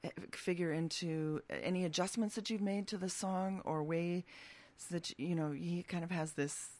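A woman hosting a radio interview in a studio, chatty and quick to laugh, often restarting her sentences. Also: fairly low pitch, steady tone, darkish tone. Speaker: radio host. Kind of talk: casual interview.

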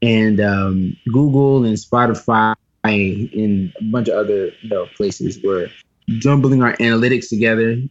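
Halting, low-voiced man, slightly dull sound. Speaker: musician being interviewed. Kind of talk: casual interview talk.

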